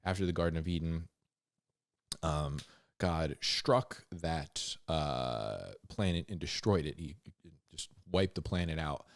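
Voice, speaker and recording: A man hosting a Bible reading, mixing scripture with informal, slangy commentary and animated asides. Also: rumbling low, slow, no room sound, studio quality. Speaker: host of a Bible reading show.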